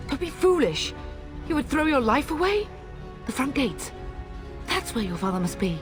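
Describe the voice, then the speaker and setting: A woman's voice: sits high, big pitch swings, normal speed. A woman playing a middle-aged noblewoman mother in a fantasy video game, commanding, earnest and formal, fierce in her grief. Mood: urgent, resolute. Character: fierce, grieving noblewoman mother of a besieged castle. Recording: dramatic music behind.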